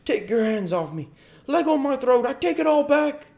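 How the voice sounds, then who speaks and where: A man's voice: on the high side, expressive, normal speed, darkish tone, pitched above the performer's own voice, lightly acted. A man voicing a blustering old con man with folksy rural speech in a dramatised storybook reading. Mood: strangled pleading.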